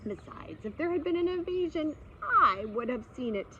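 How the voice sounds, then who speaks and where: Woman as a dismissive, self-assured wild boar, animated. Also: high-pitched, normal speed, lo-fi sound, slight background noise, voice pushed up. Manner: breezy, dismissive, sure of itself.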